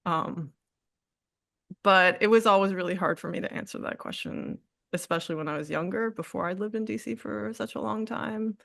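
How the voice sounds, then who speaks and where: A woman talks through personal questions in an interview, pausing often and laughing softly. Her pitch sits in the middle. The sound is dry and close, with no room echo. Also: steady tone, creaky. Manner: reflective, many fillers and restarts, soft laughs. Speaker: artist guest. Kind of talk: candid conversational interview.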